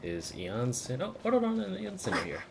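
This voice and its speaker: Excitable, sweary game streamer, wildly swinging pitch. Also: normal speed, vocal fry, noisy background. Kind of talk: reacting to a game and reading dialogue aloud. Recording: game music behind.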